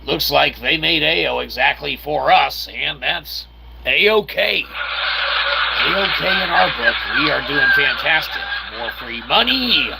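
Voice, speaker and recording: Gravelly-voiced man doing cocky gaming commentary. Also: a little high, expressive, slow, background noise. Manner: playfully cocky, talks in "we". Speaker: gameplay host.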